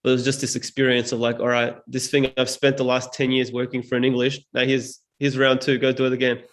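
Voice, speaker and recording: A man's voice: medium pitch, monotone, fast talker. A young man from Serbia, whose first language is Serbian, speaking as a guest in an interview in a thoughtful, earnest way full of "like" fillers. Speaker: guest, public speaking teacher. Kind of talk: reflective interview talk.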